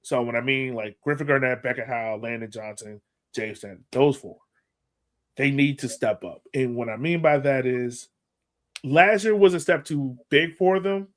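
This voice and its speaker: Man, medium pitch, sports podcast host, casual fan talk, playful banter. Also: normal speed. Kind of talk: casual fan commentary and chat.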